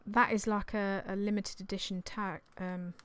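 A woman in her thirties, slightly hoarse from losing her voice, chatting in a rambling, apologetic way on a vlog, recorded in a small indoor craft room on a desktop mic. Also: medium pitch, little pitch movement, moderate pace, a bit bright. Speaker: clothing reseller vlogger. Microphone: desktop condenser mic on an arm.

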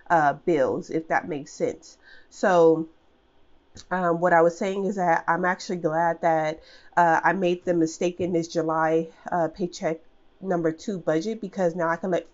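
A woman with a stuffy, congested head-cold voice sits lower in pitch as she talks through her planner pages. She rambles in a casual way, pausing with ums and restarting sentences. The recording is a little dull and lo-fi. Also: a little mellow. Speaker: budgeting channel host. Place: at home, over a desk. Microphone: camera above the desk, speaker off screen.

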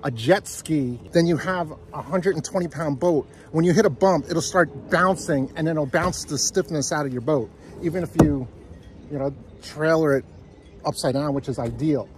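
A man's voice: on the high side, moderate pace, noisy background. A middle-aged man hosting a casual outdoor interview, talking enthusiastically while filming on a handheld phone in a park. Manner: enthusiastic, asks leading questions. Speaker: sailing vlogger interviewing a guest. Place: outdoors on a grassy park by a lake. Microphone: handheld phone at arm's length.

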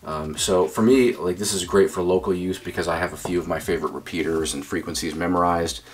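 An American man in his forties with a low voice. He talks casually, as in a hands-on hobby demonstration, recorded in a small home office. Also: fairly even intonation, brisk, a little hiss or hum. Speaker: amateur radio vlogger. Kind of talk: casual demonstration and radio chat.

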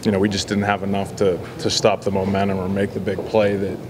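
A man in his forties, a football head coach, answering reporters after a loss in a measured, halting way with many fillers. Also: low-pitched, monotone, noisy background. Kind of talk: post-game press scrum. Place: indoor press backdrop area. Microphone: several handheld reporter mics.

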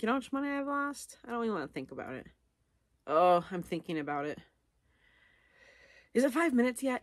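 A woman's voice: low-pitched, no echo. Middle-aged woman rambling in a dry room. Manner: rambling, self-deprecating, thinking aloud. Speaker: vlogger. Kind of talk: casual unscripted chat to camera. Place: living room at home, on a couch.

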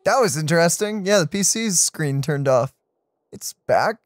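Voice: medium pitch, lively intonation, moderate pace, no echo, studio quality. A let's play streamer giving informal, muttered commentary on a game.

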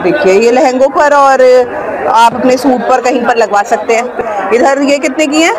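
A woman's voice: high voice, normal speed, rough recording. A woman vlogging a street market tour, chatting casually and asking vendors their prices. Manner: chatty, asks vendors prices and repeats them to viewers. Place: busy outdoor street market. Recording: loud crowd noise of a busy market.

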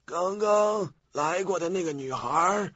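An actor playing an old male cemetery keeper with a slow, questioning manner in a radio drama. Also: high voice, a bit bright. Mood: curious, matter-of-fact. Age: older. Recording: dull lo-fi audio, music behind.

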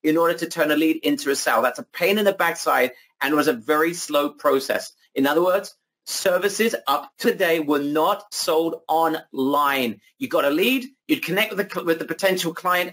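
A man with a high, bright voice runs a sales webinar, driving every point home and calling the audience "guys." His tone is husky and hoarse, as if he is losing his voice. He repeats his claims for emphasis. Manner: emphatic, repetitive hype, addresses audience as guys. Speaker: webinar presenter.

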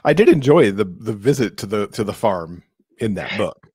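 A man's voice: lowish voice, animated, moderate pace, darkish tone, husky, dry recording. A middle-aged man hosting a casual interview, asking questions into a close microphone. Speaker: podcast co-host, interviewer. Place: indoor room, on a video call. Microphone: close podcast microphone.

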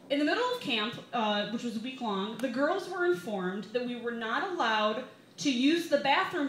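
A woman in her twenties with an American accent, reading a comedic personal essay live with wry, deliberate timing at a bar microphone. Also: a little high, fairly bright tone. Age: 20s. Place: small bar reading series, indoors. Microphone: microphone on a stand, held close. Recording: roomy live bar, some background noise.

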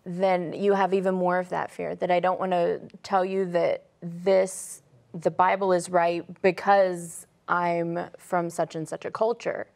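A woman in her thirties speaks in a studio panel discussion. Her voice is fairly low with a strong vocal fry, and her delivery is conversational. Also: little pitch movement, measured pace, a little mellow. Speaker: panelist on a religious study show. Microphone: lapel mic.

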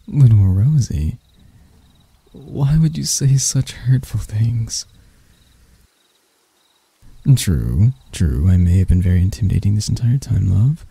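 A man voicing a deranged, seductive spider vampire in an intimate ASMR roleplay, soft and breathy, teasing and theatrical, doting yet menacing. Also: lowish voice, dry recording, heavily acted. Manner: slow, teasing, theatrical, with dark chuckles.

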